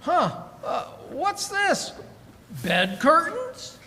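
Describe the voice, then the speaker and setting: High-pitched, wheedling old junk dealer. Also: male voice, wildly swooping pitch, drawn-out words, pushed and bright, exaggerated voice. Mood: shocked relish. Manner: theatrical, wheedling, exclamatory. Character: wheedling, nosy old rag-and-bone dealer. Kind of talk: 1940s radio drama acting. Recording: echoey hall, faint background noise.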